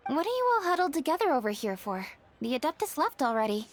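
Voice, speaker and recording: A woman's voice: high-pitched, big pitch swings, fast, very noisy. A woman voicing a composed, forthright young noblewoman in a game, speaking in a formal, self-assured way. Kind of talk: game voice acting.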